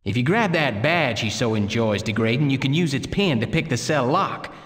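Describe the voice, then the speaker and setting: An actor playing an educated, theatrical gentleman outlaw in a western game, speaking in florid, wordy phrases. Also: medium pitch, animated, normal speed, in a large hall, close to an everyday voice. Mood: coaxing. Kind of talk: video game western acting.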